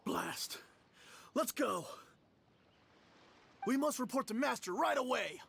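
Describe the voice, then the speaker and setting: A man playing a tense, loyal henchman in a video game, barking short combat lines in a gravelly, strained voice. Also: very high voice, lively intonation, slow, fairly bright tone, a little hiss or hum, heavily acted. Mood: urgent.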